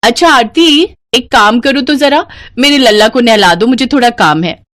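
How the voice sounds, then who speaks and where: A woman's voice: on the high side, normal speed, fairly bright tone, no room sound, clean studio sound, voice pushed up, lightly acted. A woman voicing a bossy, breezy elder sister-in-law in a cartoon family story.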